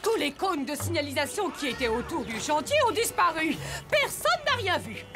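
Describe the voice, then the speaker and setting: A woman's voice: high voice, big pitch swings, moderate pace. A woman voicing a bossy, flustered female toy in a children's cartoon, emphatic and commanding. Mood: worried. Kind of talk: animated children's cartoon acting. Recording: loud music behind the voice.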